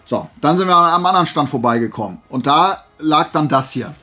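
Guy vlogging, bit husky, mid pitch, rambly and playful, music in the back. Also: fairly quick. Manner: rambling, playful, animated asides. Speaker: YouTuber showing flea market finds. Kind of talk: casual chat to camera.

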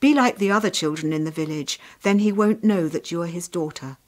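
A woman's voice: lowish voice, brisk, natural voice. Female reader playing loyal older brother, earnest boy, serious, protective, storybook dialogue. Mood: worried, urgent.

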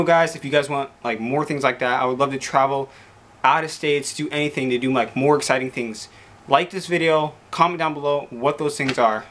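Hoarse, tired young man vlogging. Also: medium pitch. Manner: excited, rambling, repeats "guys". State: hoarse, losing his voice. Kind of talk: casual talk to camera. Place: small room indoors, at home.

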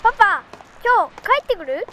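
A woman's voice: very high voice, sing-song, moderate pace, sharp tone, a little hiss or hum, exaggerated voice. A woman playing an eager nine-year-old boy in a radio drama, childlike and casual, wheedling his dad. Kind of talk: scripted radio drama dialogue.

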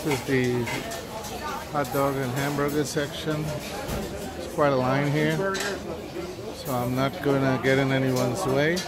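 A man giving casual, halting walk-through commentary as a vlogger, observing what is around him. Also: medium pitch, fairly even intonation. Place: large busy cruise ship buffet hall. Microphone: handheld camera or phone. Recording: background music and loud dining crowd. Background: music and crowd chatter.

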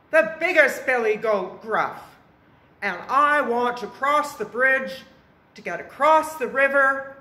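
A huge, bold eldest billy goat acted by a woman stands up defiantly in a children's tale. He is loud and forceful, with room echo around the words. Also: on the high side, voice pushed up, exaggerated voice. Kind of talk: children's storytelling. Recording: echoey room.

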